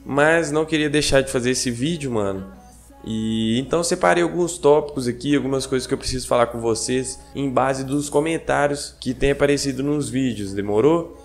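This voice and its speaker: A young man hosting a gaming channel, talking casually to his viewers with a hoarse, stuffed-up nasal voice from a cold, slangy and chatty, often checking in with them. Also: medium pitch, normal speed, soft background music. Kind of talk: casual vlog talk to viewers. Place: home gaming setup.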